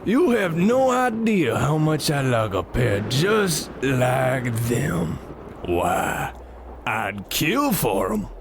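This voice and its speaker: A man's voice: medium pitch, very animated, drawn-out words, full character voice. Man acting a sly, swooping street mugger. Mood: menacing. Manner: sardonic, insinuating street-tough talk. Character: sly, menacing male street mugger feigning friendliness. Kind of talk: old-time radio comedy acting. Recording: noisy street ambience with traffic.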